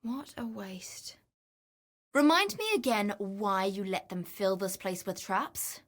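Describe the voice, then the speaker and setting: Wry, unhurried female treasure hunter. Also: medium pitch, a bit bright. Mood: sober, troubled, then scolding, sardonic. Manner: dry, sardonic, teasing, conversational. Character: wry, greedy female treasure-hunting explorer in a fantasy game. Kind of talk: video game character voice lines.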